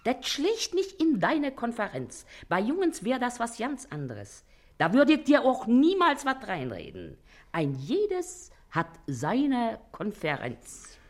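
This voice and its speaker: Bossy naggy german mum in a radio play, very animated up and down. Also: female voice, on the high side, takes it slowly, exaggerated voice. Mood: nagging. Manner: nagging, blustery, colloquial. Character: bossy, scolding, shrewd working-class mother and wife.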